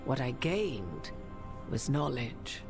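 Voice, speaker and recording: A woman playing a solemn human sorceress in a video game, speaking in measured, archaic, formal phrases. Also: extremely low pitch, big pitch swings, heavily acted. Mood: solemn, reflective. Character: solemn human sorceress bearing an ancient goddess's memories. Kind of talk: dramatic video game dialogue. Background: prominent music.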